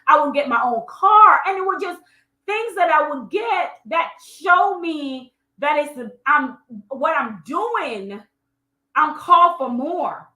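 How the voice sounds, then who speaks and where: A high, bright voice from a woman with a Jamaican accent, recorded in a roomy, echoing space. She coaches in an emphatic, colloquial way, circling back over her phrases. She speaks straight to her online audience and weaves in personal stories. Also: lively intonation, takes it slowly. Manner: emphatic, repetitive, colloquial direct address. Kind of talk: motivational live training with personal stories.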